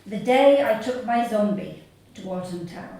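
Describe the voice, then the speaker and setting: A woman with a southern English accent reads her humorous short story live on stage, deadpan and measured, with pauses. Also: mid-range voice. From Sussex, England. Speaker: author reading own short story. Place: small theatre stage before an audience. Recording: very echoey hall, distant mic, faint noise.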